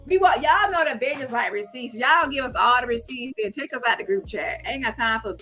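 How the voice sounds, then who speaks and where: A woman with a bright, forceful voice hosts a casual gossip call-in, with music in the background. She speaks emphatically and bluntly in salty slang, circling back on phrases to drive them home. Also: on the high side, a little fast. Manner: animated, emphatic, repeats phrases for effect. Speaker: host of a live call-in show.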